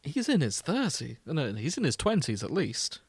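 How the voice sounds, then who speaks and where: A man's voice: a little high, wildly swooping pitch, normal speed, breathy edge, no room sound. Man bantering, close dry sound. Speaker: podcast host. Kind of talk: casual banter about fan fiction, reading excerpts aloud.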